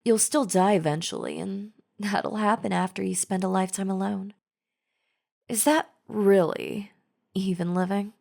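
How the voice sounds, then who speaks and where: Woman acting as slow-talking, chummy female zombie. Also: mid-range voice, steady tone, creaky, darkish tone. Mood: coaxing, friendly. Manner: casual, chummy, persuasive, conversational. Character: chatty female zombie, falsely friendly, coaxing flesh-eater. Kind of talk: scripted audio roleplay, addressing the listener directly.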